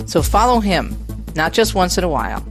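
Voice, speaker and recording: A woman's voice: fairly low pitch, big pitch swings, moderate pace, over music. A woman giving an earnest, exhorting spiritual message in a radio promo. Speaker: radio show promo speaker.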